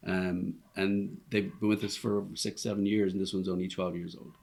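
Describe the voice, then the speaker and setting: A man's voice: low voice, level tone, moderate pace, gravelly, a little mellow. A man in his fifties with a Dublin Irish accent, a restaurant owner telling his story in an easygoing, rambling way with plenty of ums. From Ireland. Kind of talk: casual interview chat. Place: inside one of his restaurants.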